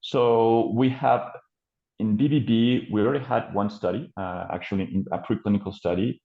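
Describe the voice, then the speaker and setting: A man's voice: fairly low pitch, normal speed, creaky. French man, hesitant, on a muffled call. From France. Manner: hesitant, many fillers and restarts. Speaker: company co-founder presenting. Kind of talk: presentation on a video call. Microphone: video call. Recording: muffled roomy call audio.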